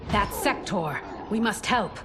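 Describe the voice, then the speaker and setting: A devoted, passionate warrior empress in a game cutscene. Her mid-range voice is formal and forceful, with clear music underneath. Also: medium pitch, lively intonation, slow. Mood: stern. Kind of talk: video game cutscene acting.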